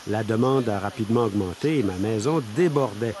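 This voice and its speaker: A man reading a voice-over translation of a shop owner, lively and explanatory. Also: lowish voice, a little fast. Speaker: interpreter voicing a shop owner.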